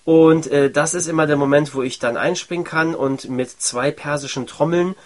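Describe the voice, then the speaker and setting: A man's voice: on the high side, fairly even intonation, normal speed. A man from Iran, a musician, speaking in a conversational storytelling way in an interview. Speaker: musician, percussionist.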